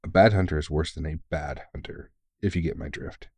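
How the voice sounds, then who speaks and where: A man's voice: rumbling low, brisk, darkish tone, dry recording. A man playing a big veteran vampire hunter in an intimate audio roleplay, talking bluntly and sweary, yet earnest and reassuring. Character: big, gruff but caring veteran male vampire hunter, mentoring.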